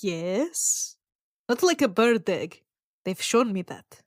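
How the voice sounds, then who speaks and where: A woman's voice: medium pitch, very animated, takes it slowly, no echo, studio quality. A woman chatting casually and improvising in character as a player in a tabletop role-playing game.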